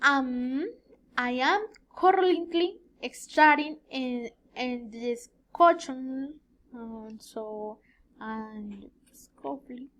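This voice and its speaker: Female student, 20s, native Spanish speaker with Colombian accent. High voice, extremely slow delivery, hesitant word-searching in a self-introduction. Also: fairly bright tone. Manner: halting, searching for words. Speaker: student giving an oral presentation. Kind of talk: rehearsed self-introduction for a language class.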